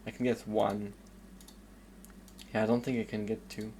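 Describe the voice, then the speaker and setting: Flat-voiced French man, musing aloud. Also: on the low side, normal speed, slight background noise. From southern suburbs of Paris, France. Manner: hesitant, thinking aloud with many fillers. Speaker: streamer. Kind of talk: casual gameplay commentary.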